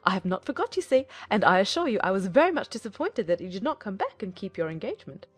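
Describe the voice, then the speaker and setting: A woman's voice: mid-range voice, very animated, fast, breathy edge, no echo. Woman putting on a gushing, swooping, meddlesome mother. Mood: officious, flattering. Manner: effusive, breathless chatter, exclaiming. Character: gushing, meddling middle-aged mother, fussy and self-important. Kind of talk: dramatized period novel audiobook.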